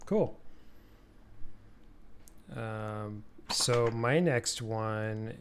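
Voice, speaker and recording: A man's voice: on the low side, very slow, smooth. Easygoing man co-hosting a podcast. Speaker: podcast co-host. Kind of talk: casual chat.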